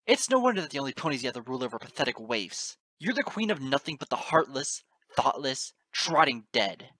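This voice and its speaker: A man voicing a furious, desperate, defiant pony hero in a fantasy story, shouting, snarling and ranting. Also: on the high side, no echo, voice pushed up, heavily acted. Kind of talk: dramatic fantasy story reading.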